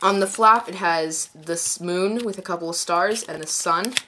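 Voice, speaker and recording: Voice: medium pitch, bright, forceful tone, slight background noise. A young adult with a stuffy, congested voice from a cold, giving a casual unscripted review in a rambling, self-correcting way. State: mild head cold. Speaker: card deck reviewer. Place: indoors at a card table.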